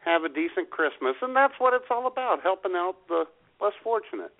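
A male radio co-host in casual comic banter, drawing out his words with pauses in mock nostalgia. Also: sits high, very animated. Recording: narrow, phone-like radio sound.

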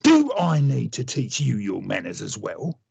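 A menacing radio-play villain who taunts as he drawls. The voice is heavily rasped and gravelly, slow, and swoops wildly in pitch. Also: huge pitch swings, a little mellow, no room sound, full character voice. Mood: taunting threat. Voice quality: raspy, gravelly. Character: Mister Hyde, menacing, gloating, taunting male villain.

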